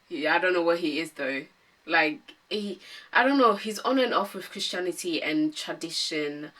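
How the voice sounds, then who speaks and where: Low-voiced young woman vlogger, bright tone. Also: distant mic. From Ghana. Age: 20s. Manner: calm, many ums and hesitant restarts. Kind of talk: casual Q&A vlog.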